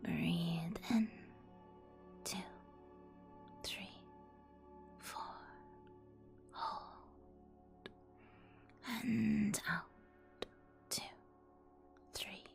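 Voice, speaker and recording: A woman leads a guided meditation in a hushed, breathy ASMR whisper. Her delivery is extremely slow and soothing, with long pauses between instructions. The voice sits fairly low, and faint music plays behind it.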